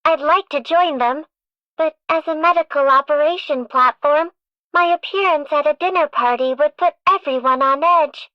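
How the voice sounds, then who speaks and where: A woman voicing a gentle, selfless female medical robot in a game, speaking politely and modestly. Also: sits high, normal speed, dry recording, muffled recording, lightly acted. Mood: gentle, wistful. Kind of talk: scripted game voice lines.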